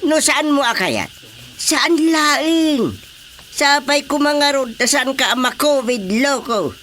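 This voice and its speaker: A man's voice: very high voice, slow, sharp tone, a little hiss or hum, heavily acted. A man playing an exasperated village chairman in a radio comedy drama, scolding with an Ilocano Filipino accent.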